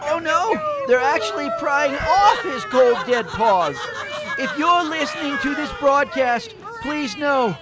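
Man acting a high-pitched, affected rich celebrity rabbit. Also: animated, slow pace, over a noisy crowd, heavy background noise, full character voice. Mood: panicked. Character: rich, smug, cowardly male rabbit celebrity making announcement. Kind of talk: comedic radio play monologue.

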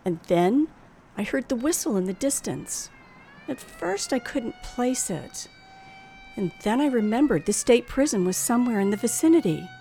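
Radio thriller heroine: young, high-pitched, tense, dramatic, narrating her fear on a hissy vintage recording. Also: very animated, moderate pace, warm tone. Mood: frightened. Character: nervous red-haired young woman driving alone at night. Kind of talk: old-time radio thriller acting, first-person narration.

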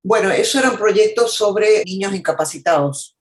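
A woman's voice: mid-range voice, normal speed, low-quality recording. A woman from the United States, a journalist being interviewed, telling her experiences in a reflective, earnest, conversational way. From United States, Argentine family.

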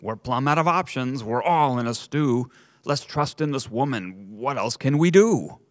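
A man's voice: mid-range voice, expressive, unhurried, dry recording, studio quality, pitched above the performer's own voice, natural voice. Man doing a frantic pleading groom. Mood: desperate. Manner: urgent, pleading. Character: flustered desperate young bridegroom.

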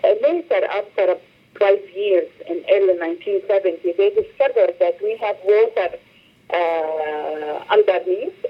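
A middle-aged woman from Lebanon, speaking English, gives a halting interview over the phone and often repeats words as she searches for phrasing. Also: medium pitch. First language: Arabic. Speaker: director of a humanitarian organization. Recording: muffled phone line.

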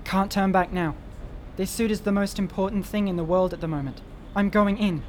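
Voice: medium pitch, fairly even intonation, normal speed, slight background noise, natural voice. An actor playing an adventurous, determined boy hero, bossy and earnest, in a radio drama.

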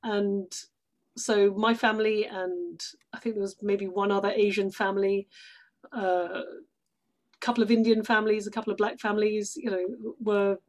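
A woman's voice: medium pitch, darkish tone. A woman from outside London with a British accent, chatting casually as a guest in an interview. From England, outside London. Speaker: podcast guest, stage actress.

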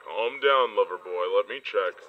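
An actor in an audio drama playing a gruff, mocking, impatient crewman. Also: on the low side, normal speed, narrow, tinny audio, a little hiss or hum, lightly acted.

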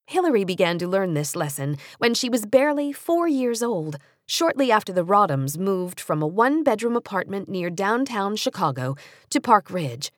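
An American woman reading nonfiction for young readers as an audiobook narrator, measured and carefully articulated, with an expressive storytelling delivery, close on a studio microphone. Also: medium pitch, no echo.

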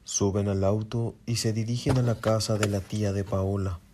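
A man's voice: fairly low pitch, little pitch movement, normal speed, very noisy. A man narrating a scripted suspense audio drama.

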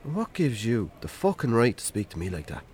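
An actor playing a weary, defensive middle-aged Irish farmer who drinks too much, curt and evasive, in naturalistic radio drama. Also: male voice, medium pitch, huge pitch swings, moderate pace, darkish tone, a little hiss or hum. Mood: angry, defensive.

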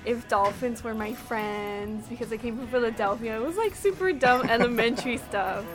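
A woman's voice: a little high, normal speed, music in the background. 19 yr old girl from pennsylvania rambling casually outside, lots of likes. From Pennsylvania, USA. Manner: chatty, lots of "like" and "um". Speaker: student interviewee. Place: outdoors on a college campus.

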